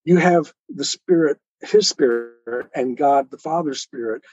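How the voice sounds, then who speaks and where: A man's voice: a little high, a little slow, darkish tone, breathy edge. A man speaking as an interview guest, earnestly explaining spiritual ideas step by step in a didactic way. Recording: muffled, roomy, lo-fi sound.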